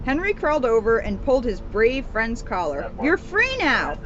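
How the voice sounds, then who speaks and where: A woman's voice: fairly high pitch, very animated, moderate pace, a bit bright, muffled recording, slight background noise. A woman police officer speaking to her community in a storybook read-aloud and informal style. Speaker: police officer reading a children's book to the community.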